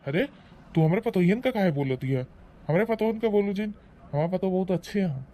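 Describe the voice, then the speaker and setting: A man's voice: high voice, expressive, fairly quick, mellow. A man playing a blustering, defensive old village husband in a rural comedy cartoon, scolding and rambling in an Awadhi accent. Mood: bossy. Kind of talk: rustic comedy cartoon acting.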